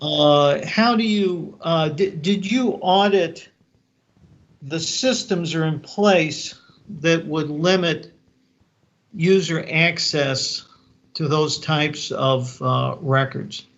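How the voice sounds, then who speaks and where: Halting, gravelly-voiced man questioning. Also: on the high side, fairly bright tone, dull audio. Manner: halting, restarting, probing questions. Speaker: board committee member. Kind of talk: questioning at a public meeting.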